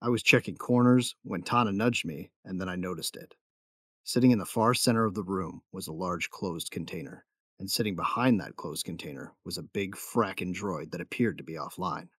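Low-voiced, dry-witted gunfighter played by a man. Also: moderate pace, husky, dry recording. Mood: matter-of-fact, grim. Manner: matter-of-fact, wry. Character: tough, dry-witted young male gunfighter, protective big brother. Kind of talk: first-person narration and dialogue in sci-fi audio drama.

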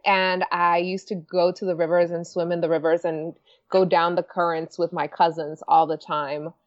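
A woman's voice: on the low side, flat delivery, normal speed. Upbeat Venezuelan-born woman on a dull line. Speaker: podcast guest. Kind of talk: casual interview.

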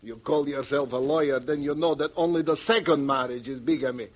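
A male actor plays a swaggering Bulgarian major in a 1940s radio drama. He is pompous and boastful, with a rough, husky voice and an Eastern European accent. The old recording is dull and muffled. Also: medium pitch, steady tone, brisk, lightly acted. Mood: scornful amusement. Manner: pompous, theatrical, broken English. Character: boastful, conceited heavy Bulgarian cavalry major, a villain.

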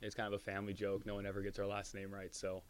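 Flat-voiced polite male guest. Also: fairly low pitch, a little hiss or hum. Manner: polite, measured. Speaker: guest assistant coach. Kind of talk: radio interview.

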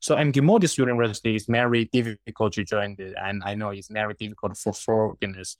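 A young man from China with Chinese as his first language, answering interview questions haltingly, often restarting words. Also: on the low side, steady tone, no echo. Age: 20s. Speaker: guest.

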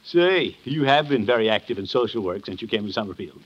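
An actor playing a jovial, pompous middle-aged uncle and bashful suitor in a 1950s radio sitcom, with hammy exclamations and chuckles. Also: male voice, fairly low pitch, animated, fairly quick. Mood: jovial, flirtatious. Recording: muffled, noisy vintage 1950s radio recording.

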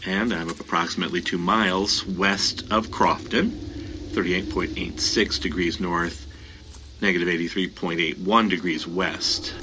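A man's voice: deep voice, steady tone, unhurried, background noise. A man playing a hesitant, methodical professor logging field notes in a naturalistic audio drama.

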